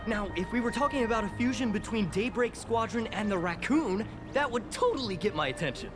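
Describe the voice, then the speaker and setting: An actor playing a dismissive but boyish young mecha fan in a video game. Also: male voice, high voice, animated, fairly quick, music in the background. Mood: enthused.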